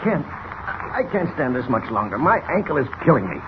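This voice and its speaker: A man's voice: medium pitch, big pitch swings, normal speed, natural voice. Man as anguished police commissioner, swooping voice. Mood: pained, desperate. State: in pain. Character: suffering, impatient male police commissioner. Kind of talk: 1940s radio acting. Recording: noisy, muffled old radio recording.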